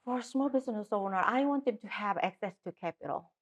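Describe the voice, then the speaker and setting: Korean-accented woman, halting speech. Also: mid-range voice, breathy edge. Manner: halting, with frequent restarts and fillers. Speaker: political candidate. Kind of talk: interview answers.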